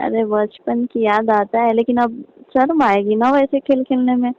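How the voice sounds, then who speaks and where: Over a thin, phone-like line comes a woman acting as a coy young female cousin. Her voice is on the low side, and she chats in a colloquial, teasing and bashful way, as in a staged phone call. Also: fairly even intonation, takes it slowly, dry recording. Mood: bashful protesting. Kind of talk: staged casual phone chat.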